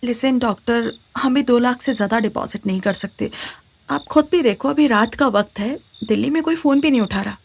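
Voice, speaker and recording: Audio drama role: shrewd, anxious young Indian woman, urgent delivery, fairly high register. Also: moderate pace, creaky, husky. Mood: worried, pleading. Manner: urgent, mixes Hindi with English. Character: anxious but shrewd young woman helping sick friend.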